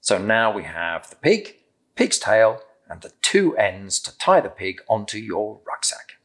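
A middle-aged British man gently and encouragingly demonstrating a craft step by step in simple instructions. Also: fairly low pitch, very animated, measured pace. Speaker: craft presenter. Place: indoor room with timber-framed walls, at a table.

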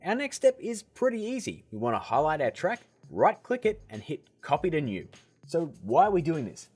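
A man hosting a software tutorial, explaining steps in a casual, upbeat way. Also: fairly high pitch, sing-song, moderate pace, husky, soft background music. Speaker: video tutorial host.

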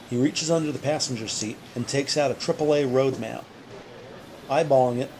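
Male audio drama narrator, mid-pitched. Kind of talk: scripted audio drama narration in present tense. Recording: vehicle and ambient noise behind.

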